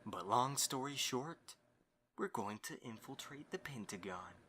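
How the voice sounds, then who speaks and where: A man's voice: medium pitch, lively intonation, a little slow, sharp tone, slight background noise. A man acting a courteous, scheming young host in an amateur audio drama, with a theatrically polite manner. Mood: confident, scheming.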